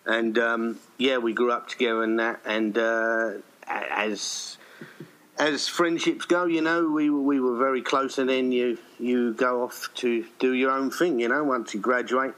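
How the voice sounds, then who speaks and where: A man's voice: mid-range voice, steady tone, unhurried, a bit bright, poor audio. A middle-aged man from Essex with an English accent, chatting casually and a little hesitantly as an interview guest. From Essex, England. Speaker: guest, writer-director.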